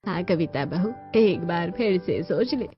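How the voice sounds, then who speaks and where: A cautious elderly grandmother from a cartoon family tale, acted by a woman. She speaks low, in a counselling manner. The sound is dull, with soft music behind. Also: lively intonation, measured pace. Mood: cautioning. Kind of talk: Hindi cartoon story dialogue.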